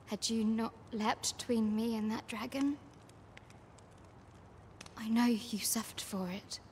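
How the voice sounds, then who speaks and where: A woman playing a caring young villager in a fantasy game, with soft, archaic formal speech. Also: a little high, fairly even intonation, slow pace, slight background noise. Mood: tender, concerned. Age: young adult. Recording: music behind the voice.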